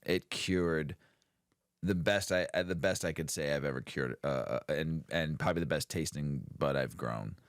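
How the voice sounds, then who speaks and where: A man's voice: extremely low pitch, normal speed, clear, resonant tone, dry recording, clean studio sound. A man co-hosting a casual podcast, talking in a laid-back, rambling way and laughing often. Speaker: podcast co-host. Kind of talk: casual stoner podcast chat.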